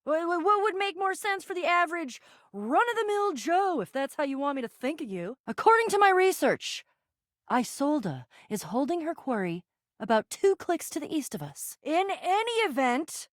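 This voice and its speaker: Excitable, high-voiced American adventurer. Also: female voice, dry recording, clean studio sound. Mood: brisk, wry. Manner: theatrical, exasperated, quick to swing between bravado and fluster. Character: excitable, bossy, sarcastic young female adventurer on a quest. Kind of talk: video game voice acting.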